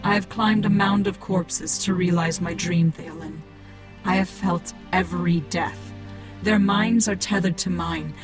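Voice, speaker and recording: An actress playing a cold, haughty machine-cult priestess who speaks in grave, deliberate pronouncements in a sci-fi audio drama. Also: female voice, fairly low pitch, fairly even intonation. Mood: anguished, grave. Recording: dramatic music under the voice. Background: music.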